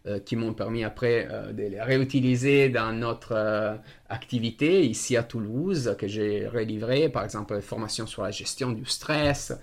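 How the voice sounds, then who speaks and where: A man whose first language is Italian, talking in a radio discussion, slow and halting with many hesitations. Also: fairly low pitch. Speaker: association member.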